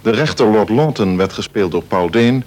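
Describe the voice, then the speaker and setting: Lowish-voiced male radio announcer. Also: lively intonation, normal speed, darkish tone, rough recording. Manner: formal, orderly. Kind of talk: scripted credits announcement.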